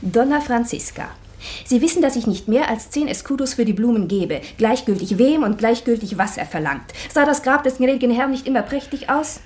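An actress playing a loyal, sharp-tongued maidservant in a German radio play, speaking precisely and dryly, respectful yet pert. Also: female voice, fairly high pitch, lively intonation, a little fast, lightly acted. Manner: dry, respectful but pert, precise stage diction.

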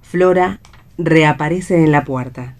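A woman's voice: sits low, takes it slowly. A woman with an Argentine accent reading the stage directions of a play as scripted narration.

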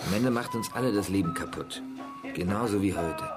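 A male actor plays a middle-aged doctor worn down by life. The voice sits fairly low, bitter and resigned, in radio drama style over clearly audible music. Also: a little fast, close to an everyday voice. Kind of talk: naturalistic German radio crime drama acting. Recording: music under the dialogue.

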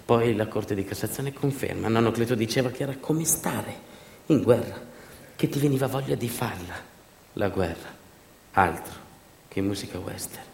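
Low-voiced theatrical storyteller in an echoey room. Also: male voice, creaky, a little mellow, slight background noise. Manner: emphatic, dramatic, with deliberate repetitions and pauses. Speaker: stage actor and storyteller. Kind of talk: theatrical narrative monologue.

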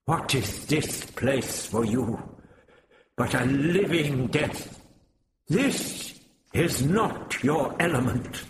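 A man's voice: medium pitch, animated, extremely slow, reverberant, a little hiss or hum. A man voicing a proud, noble male winged insect leader who speaks in a haughty, ringing, grand way. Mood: persuasive.

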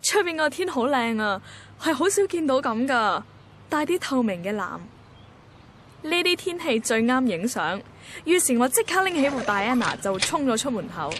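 A young woman with a Hong Kong Cantonese accent and a fairly high voice. She tells her story in a wistful, colloquial, inward-looking way, as in a radio drama. Clear street noise runs behind her. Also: big pitch swings, moderate pace, fairly bright tone. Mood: light, eager. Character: wistful young woman photographer, sentimental and timid. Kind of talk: radio drama first-person narration.

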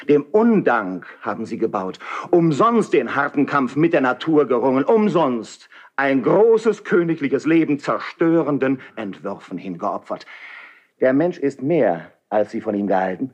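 Young nobleman, husky, wide-ranging intonation, idealistic, fervent, proud, rhetorical verse declamation, 1970s radio play. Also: mid-range voice, sing-song, a little slow, lo-fi sound. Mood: solemn, fervent. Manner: impassioned, rhetorical stage declamation.

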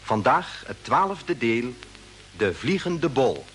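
A man's voice: mid-range voice, sing-song, takes it slowly, over music. A man announcing the titles of an old radio drama series in a scripted style.

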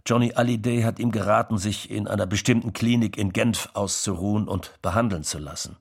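An actor playing a sober witness in a scripted radio drama, with a gravelly, husky voice. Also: male voice, fairly low pitch, brisk. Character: sober witness recounting a star's breakdown.

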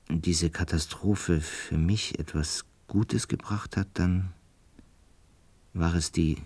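An actor playing a gentle, melancholic butterfly enthusiast in a radio drama, measured, reflective and hesitant, with a husky voice. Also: male voice, low voice, no room sound, exaggerated voice. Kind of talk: radio drama acting and first-person narration.

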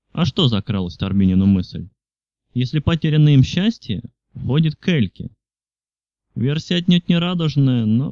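A man's voice: mid-range voice, warm tone, dry recording, lo-fi sound. A man reading literary fiction aloud as a scripted audiobook narration. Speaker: audiobook reader.

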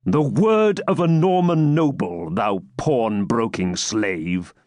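A cold-hearted baron lays down his terms with slow, stern menace, voiced by a man reading a novel aloud. His words drag deliberately and carry contempt. The recording is dry and close. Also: medium pitch, animated, a little mellow, dry recording. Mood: menacing. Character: cruel, sarcastic male Norman baron.

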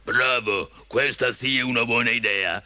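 A man voicing a big, gentle cowardly lion in a storybook, with a slow, sighing, mournful drawl. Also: medium pitch, steady tone, no echo. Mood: resolute, approving.

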